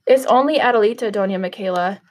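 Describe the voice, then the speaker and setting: A woman's voice: medium pitch, quick pace, natural voice. A woman reading aloud as a shy, modest young orphan woman. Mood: humble.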